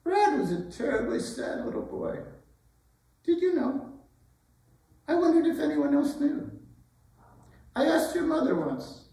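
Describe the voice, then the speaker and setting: An older woman playing a gentle, wistful retired schoolteacher in a dramatic stage reading, musing and hesitant. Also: high voice, very animated, unhurried, gravelly, long pauses, full character voice. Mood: sorrowful, wistful. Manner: hesitant, musing, tender, asking questions. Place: indoor arts center stage. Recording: roomy, distant stage recording.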